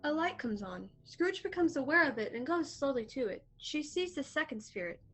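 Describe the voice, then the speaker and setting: High-voiced woman narrating a radio play. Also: very animated, moderate pace, fairly bright tone. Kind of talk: scripted radio play narration of stage directions. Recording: faint music, home video-call recording.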